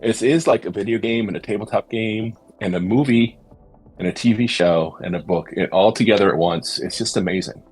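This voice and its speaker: Deep husky-voiced man, earnest. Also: a little fast, soft background music. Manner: thoughtful, earnest, searching for words. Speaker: podcast host. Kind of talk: casual discussion.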